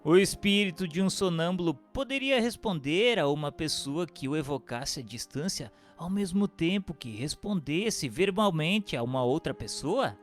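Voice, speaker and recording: Higher-voiced man reading formally in long reverb. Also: animated, moderate pace, hardly any pauses. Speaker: reader of questions and notes. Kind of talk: scripted radio drama narration. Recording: long reverberant hall sound. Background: music.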